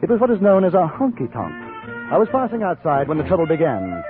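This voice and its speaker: An actor with an English accent playing a wry, unruffled gentleman reporter and gunman in a 1950s radio drama. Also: on the high side, wildly swooping pitch, quick pace. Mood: measured, wry. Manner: urbane, understated, wry. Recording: tinny band-limited 1950s radio, music behind.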